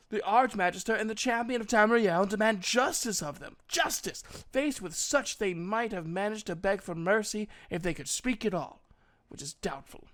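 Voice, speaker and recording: Guy doing a proud elf wizard teacher from a fantasy audiobook, highish voice, gruff but kind, exclaims a lot. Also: a bit bright. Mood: scornful, emphatic. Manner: emphatic, old-fashioned speech, exclaiming. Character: proud male elf mage, gruff but kindly mentor.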